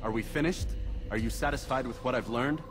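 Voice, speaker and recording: An actor playing a stern, confident young assassin in a video game cutscene, speaking in a terse, cool and formal manner. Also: male voice, mid-range voice, brisk, over music, close to an everyday voice.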